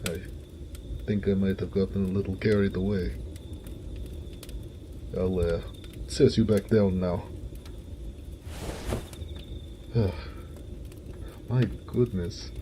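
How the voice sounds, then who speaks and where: A man playing a big, warm-hearted, jovial orc blacksmith in an affectionate, folksy, one-sided romantic audio roleplay. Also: sits low, fairly even intonation, slow, pauses often, slight background noise. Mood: sheepish, tender.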